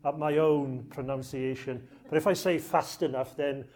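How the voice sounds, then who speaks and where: A man's voice: on the high side, fairly even intonation, moderate pace, darkish tone. A man with a Welsh accent, originally from South Wales, giving a jokey, chatty public talk to a live audience in a lecture room. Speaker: archive lecturer.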